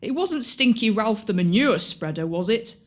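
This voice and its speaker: A woman's voice: mid-range voice, expressive, moderate pace, close to an everyday voice. A woman playing a daft, cheery, loyal servant sidekick in an audio pantomime, comically dim and exaggerated. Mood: baffled.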